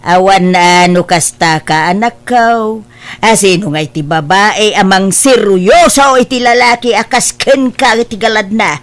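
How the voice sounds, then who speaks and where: A woman's voice: mid-range voice, a little slow, lightly acted. Reproachful, scolding mother played by a woman. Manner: reproachful, questioning. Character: disapproving, scolding mother of a grown son. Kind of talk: Ilocano radio-style family drama acting.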